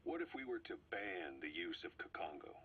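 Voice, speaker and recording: A man's voice: deep voice, sing-song, a little fast, slightly rough, full character voice. An actor playing a blunt, practical male soldier in a video game, speaking in a matter-of-fact way, on slightly dull game audio.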